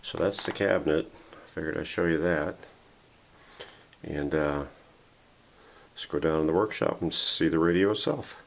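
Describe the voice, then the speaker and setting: A man's voice: a bass voice, moderate pace, vocal fry, pauses often, slight background noise. A man hosting a casual, unscripted workshop show-and-tell in a folksy, self-deprecating way, recorded indoors at home on a camera mic. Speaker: host of a vintage radio restoration channel.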